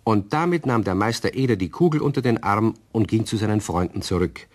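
A man playing the narrator of a children's radio play, telling the scripted story in a husky voice. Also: fairly low pitch, animated, brisk, darkish tone. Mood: matter-of-fact.

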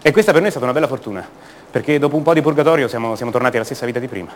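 Male actor, restless privileged young man, stumbling repetitions, very animated intonation, theatrical radio monologue, noisy background. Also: medium pitch, lightly acted. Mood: bitter, resigned. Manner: hesitant, halting, repeats words, rhetorical tags.

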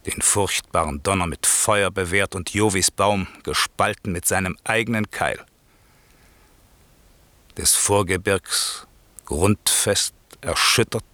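A wronged sorcerer lord in a classical radio play. His voice is very low and he speaks slowly, with grave, declamatory weight. He sounds stern and commanding, yet bent on mercy. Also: sharp tone, no room sound, full character voice. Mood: solemn, commanding. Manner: solemn, declamatory, measured. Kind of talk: German classical radio drama verse recitation.

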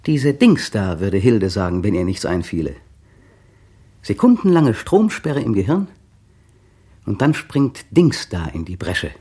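A slow, husky, brooding man. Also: lowish voice, darkish tone, exaggerated voice. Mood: irritable, sardonic. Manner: talking to himself, halting, switching into slow declamation. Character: brooding, cynical man, a poet, waiting restlessly at home. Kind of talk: 1960 German radio drama interior monologue.

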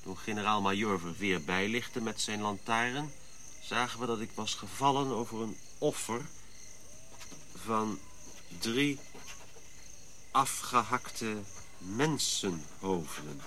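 A man playing a grave nineteenth-century explorer reciting his journal in a radio drama, measured and formal. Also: on the low side, pushed and bright, a little hiss or hum.

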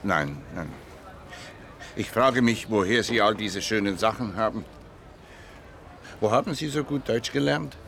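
Radio play casting: gruff retired detective, older, low voice, terse and dry, over noisy scene sound. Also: male voice, unhurried, pauses often, fairly bright tone. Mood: curious, guarded. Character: gruff, dry retired Basel police inspector, grandfather. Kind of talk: naturalistic radio drama dialogue.

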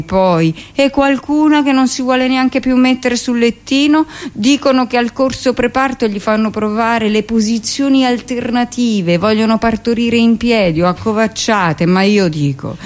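A woman plays an elderly male doctor in a radio drama. He is pompous and paternal, with cold old-fashioned authority. He lectures insistently, stretching his key words slowly. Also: medium pitch, natural voice. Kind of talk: theatrical radio monologue.

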